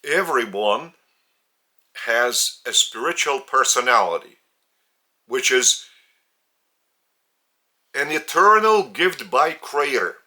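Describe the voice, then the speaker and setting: A man with a Lithuanian accent, a native Lithuanian speaker, giving a spiritual teaching from home in a slow, deliberate, earnest way with many pauses. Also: medium pitch, sharp tone. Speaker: religious teacher. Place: indoors at home.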